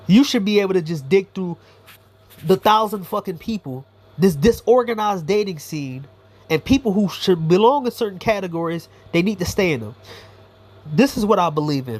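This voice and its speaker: Man, high-pitched voice, blunt, swearing, rambling monologue. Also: expressive, normal speed. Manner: blunt, profane, rambling opinions. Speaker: YouTuber commentator. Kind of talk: casual monologue commentary.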